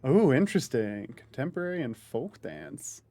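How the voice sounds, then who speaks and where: Laughing, lively man streamer. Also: medium pitch, moderate pace. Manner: joking, laughs often, talks in restarts. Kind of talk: casual gaming chat.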